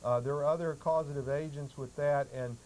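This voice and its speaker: Halting man explaining, higher-pitched, noisy recording. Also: fairly even intonation, a little mellow. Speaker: guest health author promoting remedies. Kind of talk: explanatory talk-show answers, some reading aloud. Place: public access television studio. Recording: noisy old public access TV audio.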